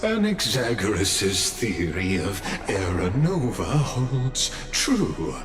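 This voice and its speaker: A man playing a cold, all-observing mastermind villain in a video game, pompous and deliberate, pausing for effect. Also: low-pitched.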